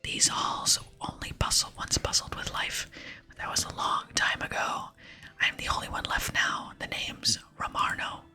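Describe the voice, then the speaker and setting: Whispered, breathy solemn dwarf smith played by a woman, mournful yet hopeful game character, music underneath. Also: normal speed, pushed and bright. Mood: wistful. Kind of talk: ASMR game dialogue reading.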